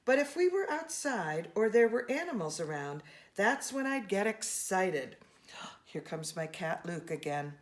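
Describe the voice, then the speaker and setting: A woman reading a children's storybook aloud in an expressive, animated way, speaking to young listeners. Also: medium pitch, unhurried. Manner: expressive, with gasps and brief asides to listeners.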